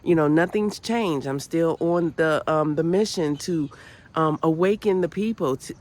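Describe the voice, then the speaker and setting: A woman's voice: low voice, a little slow, darkish tone. A woman hosting her own channel, nasal from a cold, giving an earnest, preachy motivational talk full of repeated phrases and "you know"s. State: has a cold, sounds nasal. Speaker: channel host. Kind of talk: motivational spiritual vlog talk.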